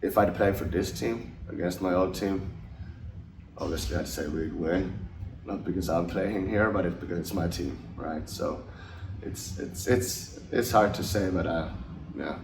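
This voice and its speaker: A young man from Finland talks in a deep, gravelly voice, answering interview questions with a relaxed, halting manner. The mic sits some way off in an echoey space with clear background noise. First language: English and Finnish. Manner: relaxed, hesitant, many fillers and restarts. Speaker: ice hockey forward, interviewee. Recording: roomy, distant mic, noisy.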